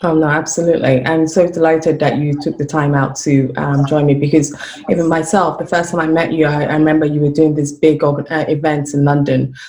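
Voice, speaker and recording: A woman's voice: sits low, flat delivery, fairly quick, warm tone, rough recording. A woman hosting an interview who asks her questions in a polite, deferential way and offers many small affirmations. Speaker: interview host.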